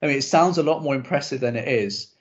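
A young man whose first language is Urdu chats easily as host, self-deprecating and full of quick asides. His voice sits at a middling pitch. The audio is a little dull and lo-fi. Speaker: podcast host. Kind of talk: casual interview chat.

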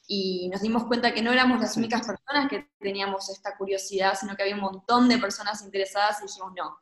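A woman's voice: mid-range voice, fairly bright tone. Argentine woman in an echoey room. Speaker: podcast guest, urban farming project co-founder. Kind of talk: casual conversational interview. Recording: roomy, echoing sound.

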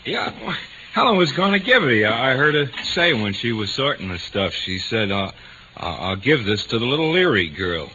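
An actor playing a nervous, menacing neighbor with something to hide, stammering and pressing in 1940s radio drama style. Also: male voice, medium pitch, big pitch swings, normal speed, bright, forceful tone. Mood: shifty, coaxing. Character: nervous, menacing neighbor man hiding a murder. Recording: dull old radio recording, faint noise.

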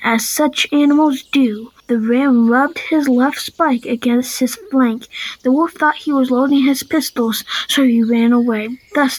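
Young girl, high husky voice, scripted folktale narration, school audio drama. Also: rough recording. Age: child.

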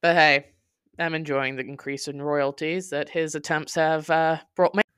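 Podcast narrator: theatrical, sardonic, wordy, mid-pitched voice, smooth and clear, recorded close and dry. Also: fairly even intonation, moderate pace, a bit bright, no room sound. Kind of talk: dramatic scripted storytelling, reading a blog.

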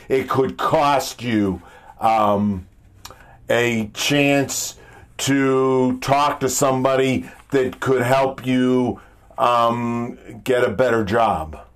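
A man with a deep, powerful voice giving an informal motivational talk in an emphatic, halting, blunt way, often repeating himself. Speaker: motivational speaker. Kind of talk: informal motivational monologue with rhetorical questions.

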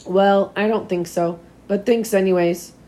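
Storybook role: wary, self-doubting schoolgirl read by a woman, slightly hoarse, hesitant delivery. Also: mid-range voice, steady tone, measured pace, warm tone, lightly acted, rough recording.

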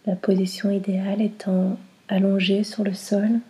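A woman guiding a relaxation meditation in a soft, breathy, soothing way, in short phrases with long pauses. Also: medium pitch, flat delivery. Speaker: yoga nidra guide.

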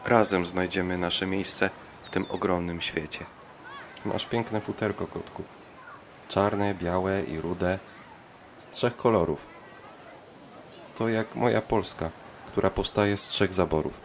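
An actor playing a gentle army officer in a children's audio drama, speaking in a soothing, tender and earnest way. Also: male voice, low-pitched, steady tone, slow, pauses often, slight background noise. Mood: tender, reassuring.

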